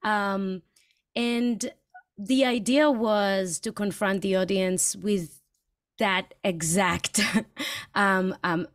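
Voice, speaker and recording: A woman who grew up in Israel speaks at an unhurried pace, pausing often to gather her thoughts. The sound is dry and close, with no room around it. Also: medium pitch, no room sound. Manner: thoughtful, hesitant with many ums. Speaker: guest filmmaker. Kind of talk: interview answers.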